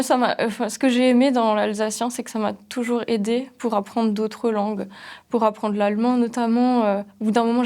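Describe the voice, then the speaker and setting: Earnest young woman, fairly high voice. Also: darkish tone. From Alsace. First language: Alsatian. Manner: thoughtful, hesitant, earnest. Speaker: Alsatian language teacher. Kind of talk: interview testimony.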